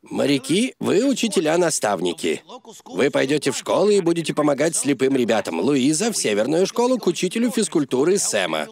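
A man voicing a hearty older teacher who captains young sleuths in a cartoon, with a gravelly voice. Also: on the high side, big pitch swings, brisk, darkish tone. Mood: commanding. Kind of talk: cartoon dub acting.

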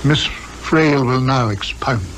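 An English actor playing an aloof, sardonic psychiatrist detective, pompous and dry, in a 1950s radio drama. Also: male voice, mid-range voice, takes it slowly, fairly bright tone. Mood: coolly superior. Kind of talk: 1950s British radio acting. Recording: faintly noisy 1950s radio recording.

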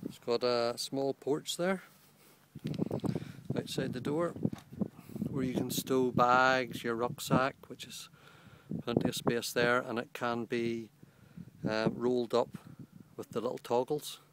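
Man, plain mid-pitched voice, matter-of-fact gear reviewer outdoors, handheld camera mic. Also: steady tone, slow pace, long pauses, heavy background noise. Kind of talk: casual product walkthrough. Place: outdoors on a lawn beside a small tent. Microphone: handheld camera held by the speaker.

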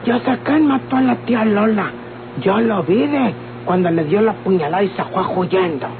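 A woman's voice: mid-range voice, normal speed, narrow, tinny audio, close to an everyday voice. A woman playing an outspoken young country daughter in an old radio drama, with a Mexican accent and a folksy, candid manner. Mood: frank, insistent. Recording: noisy, band-limited old radio recording.

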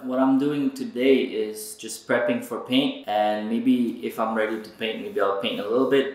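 Chatty young man touring an empty house. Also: medium pitch. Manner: laid-back, chatty, talks to the viewers. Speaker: vlogger. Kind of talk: casual vlog house tour. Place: empty basement suite and snowy yard. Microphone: handheld camera at arm's length.

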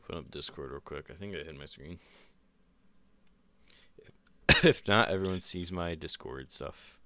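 A young man with a very deep voice, chatting casually and rambling while streaming a game. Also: brisk. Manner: relaxed, rambling, laid-back. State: sore chest and ankle. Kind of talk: casual gaming chat. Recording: streamed voice chat, faint music behind.

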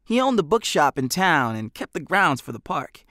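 A man's voice: on the high side, big pitch swings, normal speed, bright, forceful tone, no room sound. An American man narrating a storybook as an audiobook reader, in scripted style, close on the microphone.

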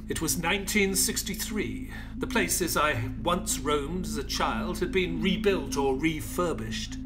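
A proper British gentleman doctor acted by a man tells his story in a formal, measured way. His delivery is dramatic and expressive, with lively pitch movement, over a music bed. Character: middle-aged English army veteran doctor, proper, reflective. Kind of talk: dramatic first-person scripted narration.

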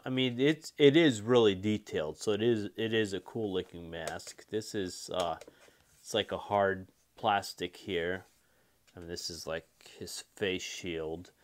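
A man with a fairly low voice presents a toy review. He speaks informally and easily, like someone chatting to viewers during an unboxing, often restating what he just said. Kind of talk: casual unboxing and review commentary.

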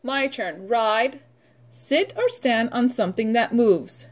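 A woman preschool teacher reading aloud and drilling vocabulary for young children in short, simple instructional phrases with pauses. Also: fairly high pitch.

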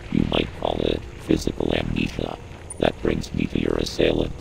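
A man voicing a calm, formal AI commanding officer in a game, with a synthetic, measured, analytical delivery. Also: background noise, exaggerated voice. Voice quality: very low, gravelly, synthetic. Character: calm, formal male AI commanding officer advising a bounty hunter. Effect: synthetic computer voice processing. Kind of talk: scripted game mission briefing. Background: music.

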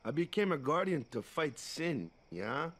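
An actor playing an easygoing, big-brotherly young island ballplayer in a video game, talking in a casual, folksy way. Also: male voice, fairly high pitch, big pitch swings, a little slow, a bit bright, heavily acted. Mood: subdued, confiding. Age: young adult. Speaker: guardian and older friend. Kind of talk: video game cutscene acting.

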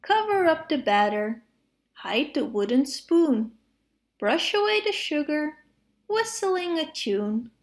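Lady reading picture books to little kids, really slow, voice goes up and down a lot. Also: sits high. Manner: expressive, measured phrasing with playful sound words. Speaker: read-aloud narrator of children's picture books. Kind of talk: storybook read-aloud for preschoolers.

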